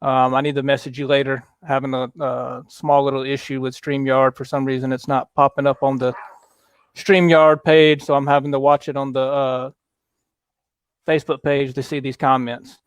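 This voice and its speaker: A man hosting a casual sports chat show, talking in a relaxed, halting way with many fillers. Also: medium pitch, clean tone, no echo. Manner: relaxed, halting, lots of fillers, reads viewer comments aloud. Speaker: podcast host.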